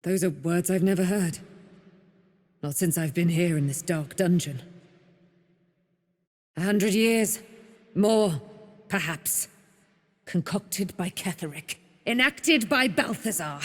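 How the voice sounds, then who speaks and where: Captive immortal warrior woman, fantasy game, bitter n proud, talks real slow, big echoing reverb. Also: lowish voice. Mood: weary, anguished. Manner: grave, archaic, theatrical. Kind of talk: dramatic fantasy game acting. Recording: long cavernous reverb.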